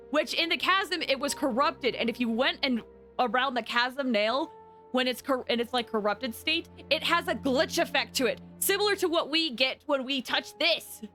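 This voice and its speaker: A woman streaming a game with animated, rambling commentary and dramatic outbursts. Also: high-pitched, unhurried, soft background music. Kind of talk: excited live gameplay commentary and theorizing.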